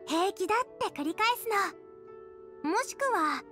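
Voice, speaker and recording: A woman's voice: very high-pitched, animated, unhurried, sharp tone, soft background music, full character voice. A woman voicing a cheerful young girl chef, earnest and encouraging, in a Japanese anime-style game. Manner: earnest, girlish, supportive.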